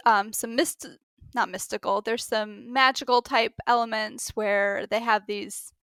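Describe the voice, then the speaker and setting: A woman on a book podcast panel, chatting casually and haltingly as she thinks aloud. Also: medium pitch, gravelly, fairly bright tone, smooth, no room sound.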